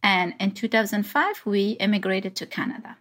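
A woman in her thirties from Afghanistan, an artist answering interview questions thoughtfully with many pauses. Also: mid-range voice, a bit bright.

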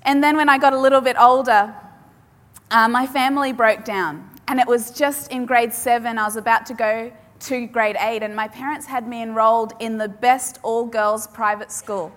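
A woman preacher, a native Polish speaker, delivers a sermon in an emphatic style, repeating key lines for effect. Her voice sits a little high. It carries with the long reverb of a large hall. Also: fairly even intonation, a bit bright. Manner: emphatic, repeats key phrases with dramatic pauses.

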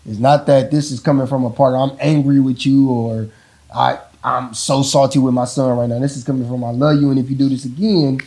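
A man with a deep voice talking casually about parenting, rambling and often checking in with the listener. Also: fairly quick. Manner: relaxed, rambling, repeats "you know what I'm saying". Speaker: father in a couple interview.

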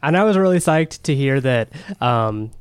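A man's voice: medium pitch, lively intonation, normal speed, clear, resonant tone, no echo, studio quality. A man co-hosting a casual podcast chat, laid-back and joking, laughing often. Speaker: podcast co-host.

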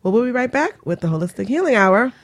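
A woman's voice: a little high, sing-song, fast talker. A woman hosting a casual radio talk show, laughing easily and hesitating with fillers.

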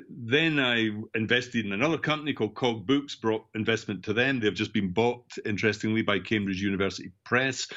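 Older Scottish man, slightly low, dull sound. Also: a bit bright. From central Scotland. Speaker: podcast guest, education technology executive. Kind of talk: conversational interview answers, recounting career.

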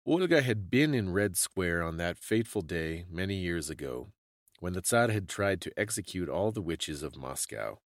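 A man giving a dramatic, scripted story reading in a measured, solemn and theatrical way. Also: sits low, expressive, no echo, clean studio sound.

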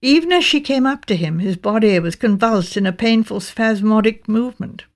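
A woman with a Received Pronunciation British accent, reading a mystery novel as scripted narration in a measured, precise way. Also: medium pitch. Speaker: audiobook narrator.

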